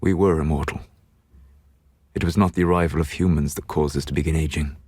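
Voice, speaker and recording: A man playing a solemn, regretful ancient elven mage in a video game, speaking in measured, formal phrases. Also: very deep voice, steady tone, darkish tone, breathy edge, dry recording, clean studio sound. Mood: grave, regretful. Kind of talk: video game character dialogue.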